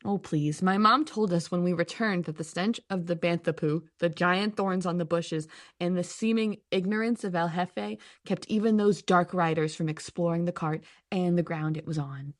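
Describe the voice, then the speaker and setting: A woman's voice: on the low side, moderate pace, lightly acted. A woman playing a practical, impatient young female adventurer in an audio drama, telling the story in a sardonic, matter-of-fact way. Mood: exasperated. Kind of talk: scripted audio drama narration. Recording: music behind the voice.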